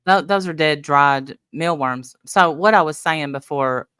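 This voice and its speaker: A woman's voice: deep voice, unhurried, fairly bright tone, clear, resonant tone, no echo, studio quality. A woman from Tennessee with a Southern accent, hosting a livestream and trading blunt, mocking banter.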